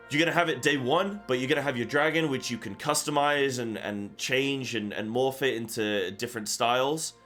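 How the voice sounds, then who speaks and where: A man's voice: mid-range voice, fairly bright tone. A man giving casual, off-the-cuff gaming commentary as an online host, rambling and often repeating himself. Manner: rambling and repetitive, talking off the cuff. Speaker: gaming content creator and host. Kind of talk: casual reaction commentary on a game announcement. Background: background music.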